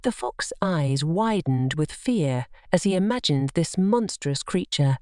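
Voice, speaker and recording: A woman's voice: sits low, animated, measured pace, a little mellow, dry recording, clean studio sound. A woman narrating scripted bedtime stories for children as a storyteller.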